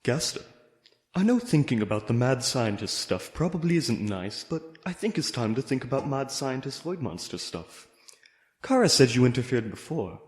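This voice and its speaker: A man's voice: medium pitch, big pitch swings, normal speed, natural voice. A man playing an earnest guest asker in a fan comic dub, coaxing and urging. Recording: echoey, reverberant room.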